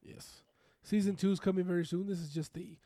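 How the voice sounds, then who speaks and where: A man co-hosting a podcast with casual, jokey banter, his voice slightly raspy from being under the weather. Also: sits high, fairly even intonation, fairly quick, dark tone, no room sound, clean studio sound. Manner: playful, jokey. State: recovering from illness, slightly raspy. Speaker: podcast co-host.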